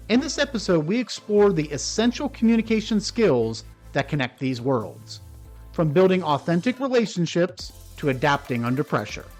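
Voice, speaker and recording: A man hosting a communication-skills podcast, introducing his guest and asking questions in an upbeat, polished way. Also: on the high side. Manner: upbeat, polished presenter delivery. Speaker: podcast host. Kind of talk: podcast interview.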